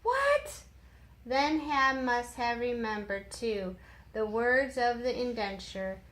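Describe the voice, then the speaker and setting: A woman's voice: fairly high pitch, slow, a bit bright, low-quality recording. A woman who reads children's stories as a teacher, in an instructive way with casual explanatory asides. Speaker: children's story reader and teacher. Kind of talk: read-aloud storytime with casual asides.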